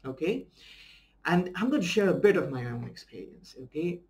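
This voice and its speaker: A man's voice: fairly high pitch, very animated, normal speed, a little mellow. A young adult man from India, a native Bengali speaker with an Indian English accent, giving an earnest, enthusiastic self-help talk as a life coach and often checking in with "okay?". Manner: earnest and enthusiastic, tags sentences with a questioning "okay?". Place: small room at home, indoors, seated in a desk chair.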